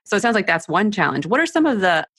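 A woman's voice: medium pitch, sing-song, quick pace, a bit bright, slightly rough, no echo, studio quality. Woman host summing up her guest. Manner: thinks aloud, sums up the guest's points before asking. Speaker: podcast host. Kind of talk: interviewing a guest.

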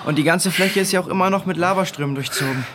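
A woman's voice: on the low side, quick pace, noisy background, close to an everyday voice. A woman playing a sensible, cautious older child who explains things plainly in a children's audio drama. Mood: practical, firm.

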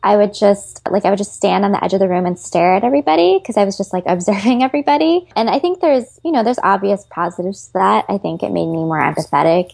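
A chatty woman from Chicago answers interview questions with an easy, friendly flow, filling her talk with "like" and "you know" and breaking into laughs. Her voice carries a gravelly fry, and the recording sounds dry and close. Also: mid-range voice, expressive, quick pace, few pauses, a little mellow, dry recording. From Chicago, US Midwest. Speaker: guest, actress.

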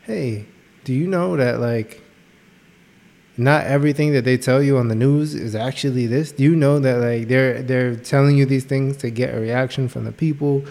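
A man's voice: medium pitch, normal speed, creaky, darkish tone. A young man co-hosting a podcast, rambling and searching for words with many fillers. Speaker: podcast co-host. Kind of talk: casual podcast chat.

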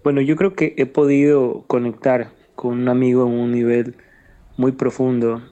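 A man's voice: medium pitch, flat delivery, moderate pace, mellow, clear, resonant tone. A man in an interview, speaking reflectively and articulately about his own experience. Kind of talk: reflective testimony.

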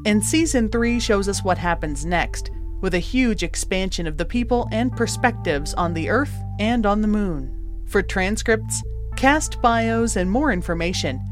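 Woman announcer over soft music. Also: medium pitch, animated, measured pace. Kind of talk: scripted podcast credits narration. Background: faint music.

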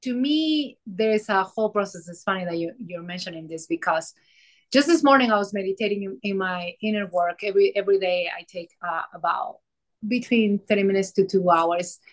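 A woman from Peru with a Peruvian Spanish accent, a podcast guest and mentor telling her story in a casual conversation, pausing often with fillers and restarts. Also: medium pitch, rough recording. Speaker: guest, soul alignment mentor.

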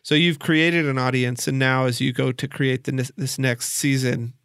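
A man's voice: mid-range voice, flat delivery, normal speed, fairly bright tone, smooth, no echo, studio quality. A man interviewing someone in a casual, conversational way. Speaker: podcast interviewer.

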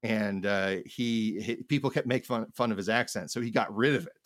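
A man chatting casually as a podcast co-host, rambling and haltingly repeating words as he thinks aloud. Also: fairly low pitch, fairly bright tone, no echo, clean studio sound. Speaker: podcast co-host, art professor.

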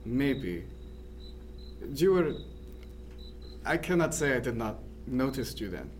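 A thoughtful, guarded but tender ship's surgeon played by a man in a radio drama. The voice is mid-pitched with formal, deliberate phrasing. Crickets and night ambience are clearly heard behind it. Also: very animated, a little hiss or hum. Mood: tender, hesitant.

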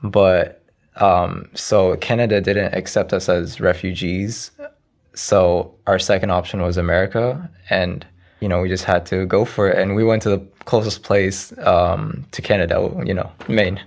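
A young man of about twenty from Iraq, whose first language is Arabic, talks in a low voice with a gravelly edge. His answers come out soft and hesitant, padded with frequent "you know"s, as an interview guest in a casual conversation. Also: steady tone, measured pace. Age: around 20.

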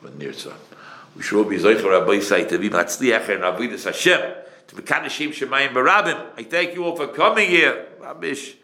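A man's voice: medium pitch, fairly quick, pushed and bright. A man lecturing as a rabbi, speaking emphatically with rhetorical questions and repeated phrases, mixing in Hebrew and Yiddish terms. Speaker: rabbi giving a Torah lecture. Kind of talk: sermon to a live audience. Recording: echoey room.

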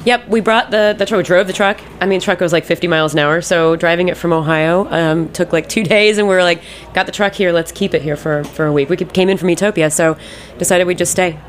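A woman's voice: lowish voice, fast, a little hiss or hum. A woman chatting casually as a radio guest, telling stories in colloquial phrasing. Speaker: radio guest, ice cream maker. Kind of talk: casual chat.

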